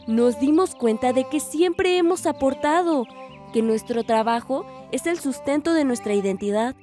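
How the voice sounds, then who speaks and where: An actress playing a hopeful young village woman, narrating a radio drama in an earnest, measured way. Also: fairly high pitch, a little mellow, lightly acted. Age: young adult.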